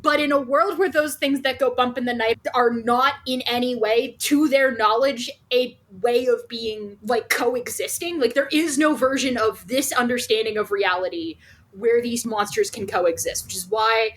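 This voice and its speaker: A woman with a fairly high voice talks as a podcast co-host. She is emphatic and animated, and she swears freely in casual discussion. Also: moderate pace.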